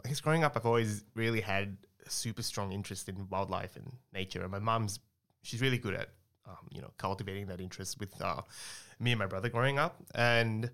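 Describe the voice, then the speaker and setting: Young guy from singapore, lowish voice, rambles a bit, lots of ums and you knows. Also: pushed and bright, clear, resonant tone. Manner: chatty, explains at length with many fillers and restarts. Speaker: guest scientist. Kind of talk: casual interview talk.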